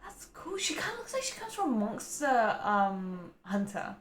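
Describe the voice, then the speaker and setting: A woman with a slightly high, very animated voice gives casual, playful reactions at an unhurried pace. She gushes openly, recorded in an echoey room with game music playing faintly behind her. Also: a little hiss or hum. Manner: gushing, playful, admiring exclamations. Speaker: gaming video reactor. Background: game trailer music.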